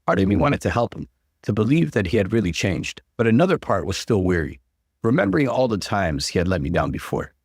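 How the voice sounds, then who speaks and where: A man reading an online story aloud as the channel's narrator, in an expressive storytelling manner. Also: fairly low pitch, brisk, dry recording, studio quality.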